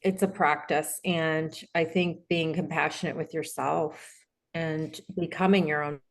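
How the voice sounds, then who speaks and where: Lower-voiced woman telling her life story. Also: steady tone, unhurried. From Detroit, Michigan, USA. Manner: rambling, many fillers and restarts. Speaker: podcast guest, mindset coach. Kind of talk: candid conversational storytelling.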